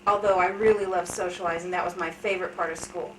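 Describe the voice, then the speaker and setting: A woman's voice: on the low side, steady tone, quick pace, fairly bright tone. A woman in her thirties chats openly and laughs at herself while she tells family stories. Her voice sounds a little distant and bounces around an echoey room. A faint hiss sits underneath. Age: 30s. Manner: chatty, candid storytelling with self-deprecating humor. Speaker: interviewee. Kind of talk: casual family interview.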